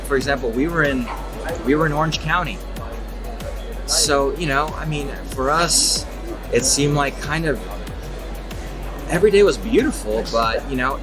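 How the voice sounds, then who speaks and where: Man, 30s, from California, native Czech speaker, relaxed, hesitant restarts, mid pitch, clear music behind. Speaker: interview guest, designer. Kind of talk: casual interview answers. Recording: music playing loudly behind.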